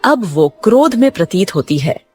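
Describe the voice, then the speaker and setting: A woman's voice: mid-range voice, very animated, normal speed, a little mellow, breathy edge, soft background music. A woman narrating a scripted cartoon moral story as a storyteller.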